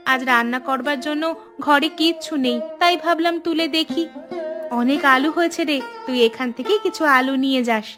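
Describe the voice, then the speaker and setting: A woman's voice: high-pitched, a little fast, over music, lightly acted. A woman voicing a generous, patient elder sister-in-law in a village cartoon, homely and reassuring. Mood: friendly. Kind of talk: cartoon voice acting.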